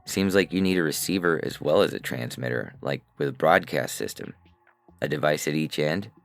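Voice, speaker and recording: A man's voice: rumbling low, lively intonation, normal speed, gravelly, soft background music. A man reading scripted first-person science fiction in a wry, conversational storytelling way. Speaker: narrator and reader.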